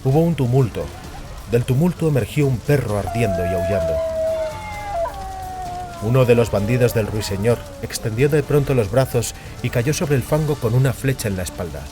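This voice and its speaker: A man's voice: mid-range voice, animated, moderate pace. A man narrating a fantasy audiobook in a scripted, dramatic storytelling style. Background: music.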